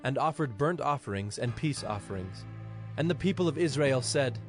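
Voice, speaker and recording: A man's voice: mid-range voice, expressive, moderate pace. Male scripture narrator over music. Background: background music.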